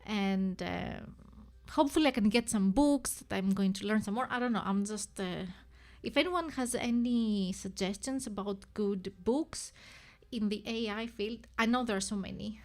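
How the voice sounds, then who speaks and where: A woman's voice: mid-range voice, normal speed, darkish tone. A woman with a Greek accent, a native Greek speaker, hosting a casual live stream and thinking aloud with many restarts and self-corrections. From Greece.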